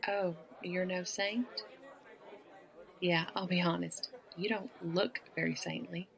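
A woman's voice: fairly low pitch, steady tone, slow pace, creaky, a bit bright, a faint crowd. A woman from the American South with a Southern accent, teasing and flirtatious in a one-sided audio roleplay, laughing often. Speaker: woman flirting with a stranger at a bar.

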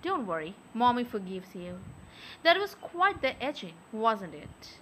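A young adult woman speaking softly and close to the mic in an intimate audio roleplay, with a teasing, coaxing manner. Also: on the high side, very animated, slight background noise. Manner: soft, slow, teasing and coaxing.

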